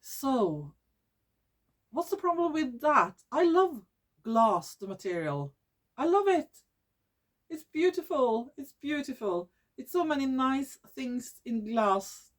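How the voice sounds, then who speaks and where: Slow-talking Norwegian woman vlogger. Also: high-pitched, very animated. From Norway. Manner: searches for English words, repeats and restarts. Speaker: YouTube channel host. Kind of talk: casual vlog monologue.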